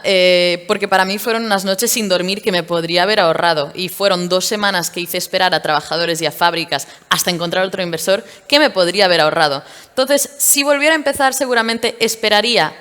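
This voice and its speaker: A woman from Portugal, a startup founder, speaking candidly and in a lively way during a stage interview at a tech event. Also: mid-range voice. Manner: candid, lively storytelling with fillers. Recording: reverberant event hall.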